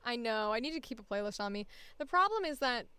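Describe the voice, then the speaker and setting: A woman voice actor chats casually between takes, rambling and thinking aloud. She has a fairly high, smooth, clear voice, and the close recording sounds completely dry. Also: animated, fast, sharp tone, no room sound. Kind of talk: casual livestream chat while recording auditions.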